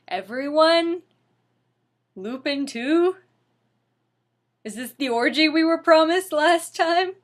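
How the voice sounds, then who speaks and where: A woman's voice: sits high, animated, slow, long pauses. A woman giving a comedic dramatic reading, theatrical and mock-sorrowful, with wry asides. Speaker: reader.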